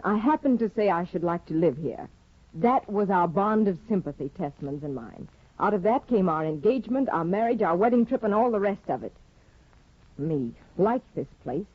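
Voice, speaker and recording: An actress playing a bored, disdainful aristocratic young wife in a 1950s radio drama, with a haughty, sardonic, theatrical delivery. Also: female voice, fairly low pitch, breathy edge, no echo. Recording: dull vintage 1950 radio recording.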